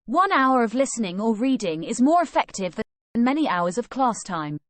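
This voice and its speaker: A woman's voice: fairly high pitch, sing-song, normal speed, no echo. A woman reading a scripted language lesson aloud, moving from sample questions and answers to study tips.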